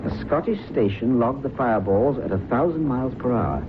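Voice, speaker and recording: An actor playing a keen, curious reporter and husband in a vintage radio drama. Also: male voice, medium pitch, lively intonation, a little fast, lightly acted. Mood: absorbed, intrigued. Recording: noisy, narrow-band old radio recording.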